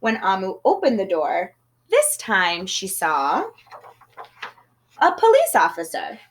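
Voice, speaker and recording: Woman reading a kids book out loud, super lively up and down voice. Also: medium pitch, slow. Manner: expressive, storytelling. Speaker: storybook reader. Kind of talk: children's read-aloud.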